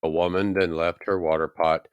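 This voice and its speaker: Man, very low smooth voice, solemn Bible narration, measured dramatic pauses, dry close sound. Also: flat delivery, no echo. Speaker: scripture reader. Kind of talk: solemn scripted Bible reading.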